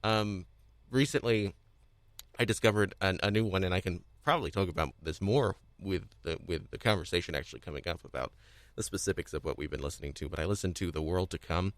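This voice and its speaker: A man hosting a casual panel discussion, speaking haltingly and often repeating himself as he restarts phrases. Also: deep voice, dry recording. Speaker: podcast host.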